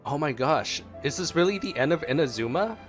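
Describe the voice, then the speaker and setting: A man's voice: a little high, lively intonation, fairly quick. A man giving casual reaction commentary as a game streamer, musing aloud and exclaiming. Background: game music.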